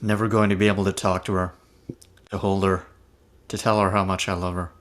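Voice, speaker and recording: A man's voice: low voice, flat delivery, fairly quick, close to an everyday voice. A man in an amateur table read playing an earnest, sentimental ghost of a husband. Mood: emotional, indignant. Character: earnest, sentimental male ghost reminiscing about his wife. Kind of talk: amateur table read acting over video call.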